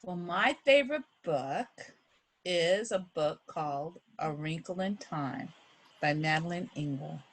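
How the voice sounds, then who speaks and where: A woman's voice: sits low, measured pace. A middle-aged woman talking as a guest reader to children and families, explaining things in an earnest, encouraging and emphatic way.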